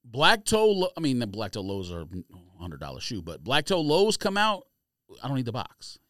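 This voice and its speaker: A man's voice: medium pitch, wildly swooping pitch, moderate pace, fairly bright tone, no room sound, studio quality. A man co-hosting a sneaker podcast, chatting casually in slang and pressing his points insistently. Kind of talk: casual chat and debate.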